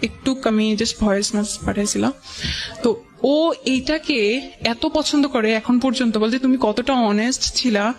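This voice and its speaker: A woman's voice: on the high side, unhurried, soft background music. A young woman with a Bangladeshi accent telling a personal story conversationally as a guest, hesitantly, with English words mixed in and quoted dialogue. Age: young adult. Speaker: guest sharing life story.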